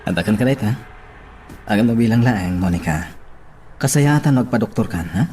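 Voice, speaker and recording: A man playing a concerned, gently advising priest in a radio drama. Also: lowish voice, expressive, normal speed, a little hiss or hum, natural voice. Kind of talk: Ilocano radio drama acting.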